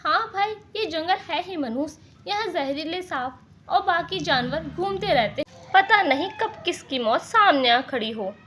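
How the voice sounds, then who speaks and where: A woman's voice: very high voice, animated, measured pace, fairly bright tone, a little hiss or hum, heavily acted. A woman voicing simple, trusting male village labourers in cartoon story dialogue, with plain, brotherly talk. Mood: worried.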